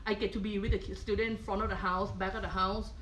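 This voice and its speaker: A woman's voice: mid-range voice, little pitch movement, fast talker, a bit bright, slight background noise, poor audio. Thai-accented woman teaching a cooking demo. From Thailand. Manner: patient, checks in with frequent "okay?". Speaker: culinary professor. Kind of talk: live cooking demonstration. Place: kitchen.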